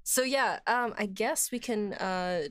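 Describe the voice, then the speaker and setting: Female podcast host, medium pitch, casual off-the-cuff conversation, loose hesitant phrasing. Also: takes it slowly, no echo. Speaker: podcast host and series writer. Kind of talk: casual unscripted podcast chat.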